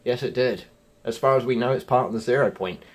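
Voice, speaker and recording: A man's voice: mid-range voice, fairly quick. A man hosting a gaming channel, talking viewers through a gameplay walkthrough in a chatty way and calling them folks and guys.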